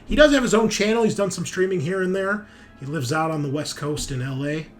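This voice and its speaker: A man's voice: on the high side, fairly quick, soft background music. A man hosting a tabletop gaming show, talking casually and informally in a hesitant, rambling, conversational way. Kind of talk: casual chat, rambling announcements of upcoming episodes.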